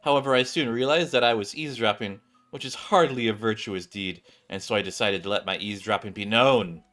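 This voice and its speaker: A man's voice: on the low side, fairly quick, fairly bright tone, lightly acted. A man voicing a pompous game heroine who makes grand, formal, righteous declarations. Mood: grand, self-satisfied. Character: pompous, theatrical female hero with grand righteous airs.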